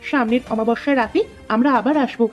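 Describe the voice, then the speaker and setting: A tender, excitable farmer's wife from a village cartoon, voiced by a woman. She speaks high and fast in an expressive way, with faint music underneath. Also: dull audio, natural voice. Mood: wondering. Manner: expressive, exclaiming. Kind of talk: cartoon voice acting.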